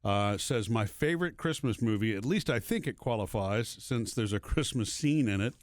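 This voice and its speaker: A man's voice: on the low side, animated, normal speed, no room sound, studio quality. A man co-hosting a podcast in an easygoing way, thinking aloud as he reads listener messages and chats. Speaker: podcast co-host. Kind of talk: casual chat, reading listener messages aloud.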